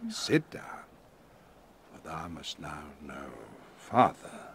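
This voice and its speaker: A man playing a commanding, fatherly exiled duke and magician in a Shakespearean audio drama, emphatic and moving between hushed and loud. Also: extremely low pitch, very animated, slow, slight background noise, exaggerated voice. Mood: solemn, tender.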